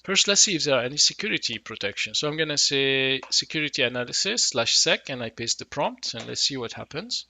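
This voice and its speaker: Bright, gravelly man demoing software. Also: medium pitch, no room sound. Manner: casual explanatory, thinks aloud with fillers. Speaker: tech tutorial host. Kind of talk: screen-recorded software walkthrough. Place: small room indoors. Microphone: headset.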